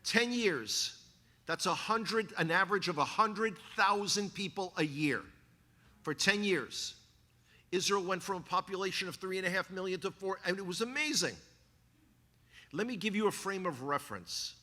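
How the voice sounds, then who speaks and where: A man with a Bronx New York accent giving a church talk, animated and cracking jokes to the congregation. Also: high voice, moderate pace. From New York, USA. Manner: animated, joking asides to the congregation. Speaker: guest speaker, former tour guide. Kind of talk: sermon-style talk. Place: church hall. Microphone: handheld microphone.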